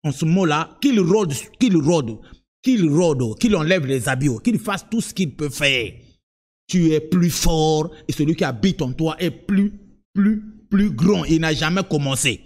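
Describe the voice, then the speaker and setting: A man preaching a sermon in French, which is not his first language, moving from low, direct asides to high, sung-out cries of emphasis. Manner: repeats words and restarts, talks to the listener directly. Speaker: apostle preaching.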